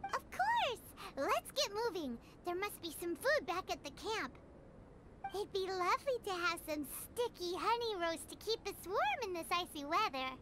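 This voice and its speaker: A woman voicing a tiny, childlike fairy companion from a video game, squeaky, cheery and fond of food. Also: sing-song, moderate pace, very noisy. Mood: chirpy, encouraging. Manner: refers to self in third person, cheery.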